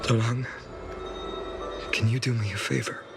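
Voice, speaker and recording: An actor playing an earnest young heroic leader in a game, burdened yet courteous, speaking gravely and politely. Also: male voice, medium pitch, fairly even intonation, measured pace. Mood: grave, gentle. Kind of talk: scripted dramatic game voice acting. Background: music.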